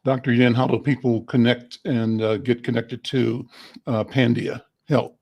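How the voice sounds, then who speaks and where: A middle-aged man hosts the conversation in a voice of average pitch with a gravelly, fry-laden edge. He asks unhurried questions, often pausing on "uh" as he gathers his words. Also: little pitch movement, darkish tone. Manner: measured, with many "uh" pauses and affirming "mm-hmm"s. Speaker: interview host. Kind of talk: interview, asking questions.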